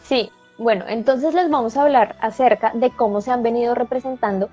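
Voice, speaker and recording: A woman co-hosting a talk show, explaining things carefully and pausing on fillers as she goes. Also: fairly high pitch, loud music behind the voice. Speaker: co-host. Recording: slightly dull lo-fi audio.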